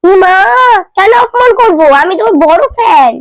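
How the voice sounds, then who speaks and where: A woman's voice: very high-pitched, animated, moderate pace, no echo. A woman making a prank call, chatting in a teasing, casual way. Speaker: prank caller. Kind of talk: casual teasing phone chat.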